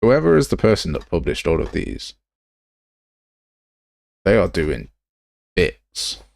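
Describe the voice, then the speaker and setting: A man hosts a casual livestream in a low voice with plenty of vocal fry. His pitch moves around a lot as he grumbles and thinks aloud. The sound is close and dry. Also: wildly swooping pitch, slow, darkish tone, no echo. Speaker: livestream host. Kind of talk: casual livestream commentary while browsing software assets.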